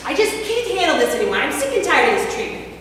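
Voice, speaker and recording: A woman's voice: fairly high pitch, big pitch swings, a little fast, a bit bright, slight background noise. A woman playing an overworked housewife in a church skit, talking emotionally and swinging between scolding and pleading. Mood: hurt, angry. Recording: echoey hall from far off.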